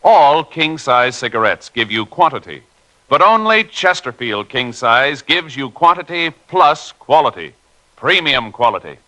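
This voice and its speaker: A man's voice: medium pitch, big pitch swings, measured pace, sharp tone, poor audio. A man reading radio commercials from the 1950s with emphatic, punchy salesmanship. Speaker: commercial announcer.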